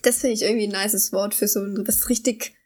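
A woman's voice: mid-range voice, fairly even intonation, brisk, a little mellow. A young woman, chatting casually as co-host of a podcast. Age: young adult. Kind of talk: casual chat.

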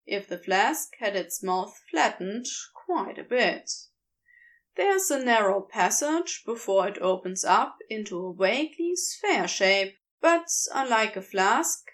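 Slow, expressive woman storyteller. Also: on the high side. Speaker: podfic reader. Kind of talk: scripted narration of a story.

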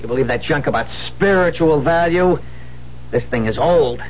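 A man's voice: a little high, moderate pace, lightly acted. Man acting a bullying, muffled radio-play crook. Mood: scheming, greedy. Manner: slangy, sneering, domineering. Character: cocky, bullying, greedy male street crook. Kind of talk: 1970s radio drama acting. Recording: muffled, noisy 1970s radio recording.

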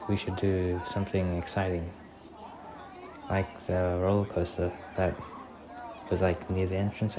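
Low, slow, gentle boyfriend, acted by a man. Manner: hesitant, halting, reassuring. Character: gentle young boyfriend on an amusement park date. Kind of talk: ASMR boyfriend roleplay, soft-spoken. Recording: crowd ambience and noise behind voice.

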